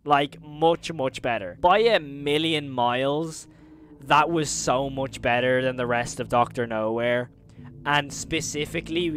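A man's voice: mid-range voice, a bit bright. A young adult man reacting to a video in a casual, enthusiastic, slangy way, talking close into a microphone in a bedroom studio. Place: small bedroom studio, indoors. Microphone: close boom-arm microphone.

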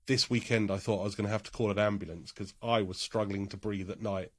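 A man's voice: lowish voice, fairly even intonation, fast talker, no room sound, clean studio sound. A man co-hosting a casual podcast, his voice congested from a cold, chatty and joking with frequent fillers. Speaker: podcast co-host. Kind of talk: casual chat.

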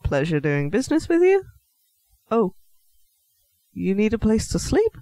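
Casting: man voicing a small, nervous male half-elf shopkeeper, high voice, swooping delivery, flustered and stammering, fantasy audio roleplay. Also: wildly swooping pitch, a little slow, warm tone, dry recording, exaggerated voice. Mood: polite, brisk. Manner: flustered, stammering, apologetic, politely chatty. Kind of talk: scripted fantasy audio roleplay addressed to the listener.

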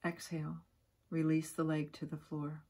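A woman guiding a yoga nidra relaxation in a soft, breathy, soothing voice, giving gentle instructions with long pauses. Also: deep voice, monotone. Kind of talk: guided relaxation meditation.

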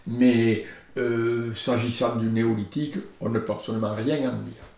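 An older man with a southern French accent, an archaeologist speaking thoughtfully in a filmed interview in his book-lined study, gesturing and pausing with hesitations. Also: mid-range voice, little pitch movement, darkish tone. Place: study lined with bookshelves, indoors. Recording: roomy study sound.

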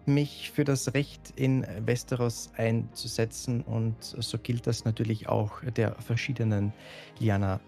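A conciliatory master of law acted by a man in a fantasy game. He speaks formally and haltingly in a mid-pitched voice, with music behind. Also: little pitch movement, gravelly, mellow. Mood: earnest. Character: diplomatic lord, royal master of law.

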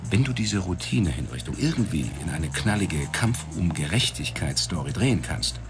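An actor playing a foul-mouthed, sarcastic newspaper editor in a radio drama, blustering and sardonic. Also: male voice, sits low, big pitch swings, normal speed, over music. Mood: wry, warning.

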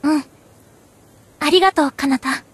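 A woman playing a gentle, quiet teenage girl in an anime game, speaking in a reserved, sincere way. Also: sits high, normal speed, no room sound, close to an everyday voice. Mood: quiet, subdued. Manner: soft-spoken, reserved, sincere.

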